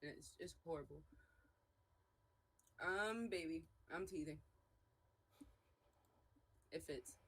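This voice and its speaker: A woman's voice: low-pitched, slow, pauses often, breathy edge. A woman hosting a casual live stream, congested and nasal, talking in loose slangy bursts. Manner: loose, slangy, hyped talk. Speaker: live stream host reviewing music. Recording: loud music playing behind.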